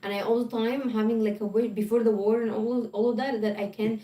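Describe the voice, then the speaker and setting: A woman from Egypt with an Egyptian Arabic accent, chatting on a livestream in halting, restarting sentences full of "like". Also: on the high side, level tone, warm tone, far from the mic. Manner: halting, restarts often, fillers of "like". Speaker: vlog co-host on a livestream. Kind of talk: casual livestream chat. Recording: roomy, away from the mic.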